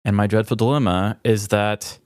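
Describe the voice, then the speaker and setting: A man's voice: fairly low pitch, fairly even intonation, moderate pace, darkish tone, clear, resonant tone, no room sound. A man co-hosting a podcast in casual, comedic chat, telling self-deprecating stories with some casual swearing. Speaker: podcast co-host.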